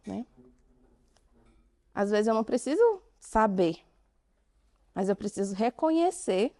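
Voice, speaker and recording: A woman with a Brazilian Portuguese accent speaks to a conference audience in a somewhat high voice. She draws listeners in with direct questions and lands her points with emphatic pauses. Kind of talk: interactive conference talk.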